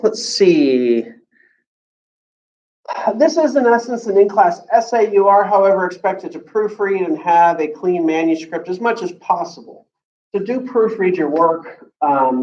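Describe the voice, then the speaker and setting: An American man giving an informal classroom explanation as a college instructor, easygoing and hesitant, pausing mid-sentence. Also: fairly high pitch, a little mellow. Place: classroom with students. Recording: echoey room, distant muffled mic.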